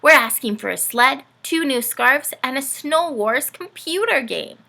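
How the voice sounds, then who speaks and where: A giggly little penguin child from a storybook, voiced by a woman. The voice is high, sharp and loud, bouncing with excitable energy. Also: measured pace, creaky, heavily acted. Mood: giggly, excited. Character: giggly, shrieking young twin penguin friends, excitable.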